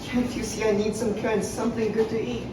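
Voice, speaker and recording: A woman's voice: fairly high pitch, flat delivery, fast, lightly acted. A woman playing a poor, needy family member in a stage pantomime, speaking in rhyming verse. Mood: plaintive. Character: fed-up, hungry, pleading poor relative of Scrooge. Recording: distant echoey stage, background noise.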